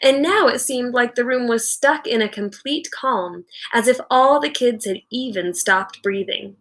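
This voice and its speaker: A woman with a bright, forward voice reads a children's story aloud like a teacher. Her delivery is slow and deliberate, with expressive, dramatic storytelling. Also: fairly high pitch. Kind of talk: expressive read-aloud narration.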